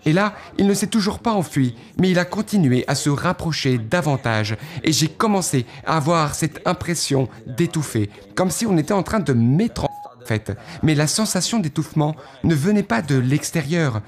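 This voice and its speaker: A man giving an earnest first-person testimony, storytelling with dramatic pauses as he recounts what he thought and felt. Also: fairly high pitch, animated.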